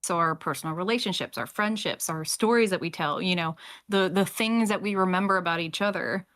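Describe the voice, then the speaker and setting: Emphatic woman with a bright, forceful voice. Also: fairly low pitch, a little fast. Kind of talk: casual group discussion.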